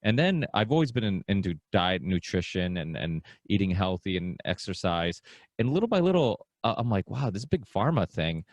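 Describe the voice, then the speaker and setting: A man's voice: low-pitched, sing-song, moderate pace, no room sound, lo-fi sound. A man from Portugal hosting a podcast, chatting casually and rambling a little, with frequent fillers. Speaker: podcast host. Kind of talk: casual interview talk.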